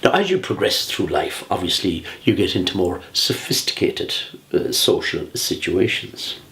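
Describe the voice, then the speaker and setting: A man in his sixties with an Irish accent, giving a humorous prepared speech in a measured, theatrical way with deliberate pauses. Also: deep voice, lively intonation, vocal fry, a bit bright. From Ireland. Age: 60s. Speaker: humorous public speaker.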